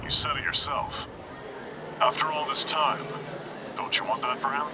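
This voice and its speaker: An actor in an English game dub playing a pushy, shady middleman with a quiet, coaxing, businesslike manner. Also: mid-range voice, fairly even intonation, moderate pace, a crowd in the background, natural voice. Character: smooth, pushy shady middleman demanding money. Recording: loud ambient noise over the voice. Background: loud ambient noise.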